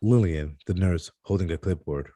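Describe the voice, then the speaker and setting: Male narrator for a script read: very low, soft-edged, dry recording, slightly stumbling delivery. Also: moderate pace, no room sound. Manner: stumbles over words and corrects himself. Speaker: reader of stage directions at a script table read.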